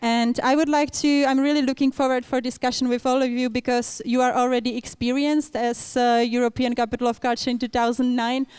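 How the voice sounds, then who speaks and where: A young woman speaking English as an event moderator, pausing often with fillers as she introduces a panel. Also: on the high side, flat delivery, darkish tone, clean tone, no room sound. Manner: frequent fillers and restarts, non-native English.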